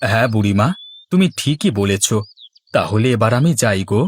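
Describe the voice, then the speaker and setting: A man's voice: fairly low pitch, animated, moderate pace, husky. A man playing a good-natured, easygoing village fisherman and husband in a Bengali moral-story cartoon. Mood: easygoing, friendly.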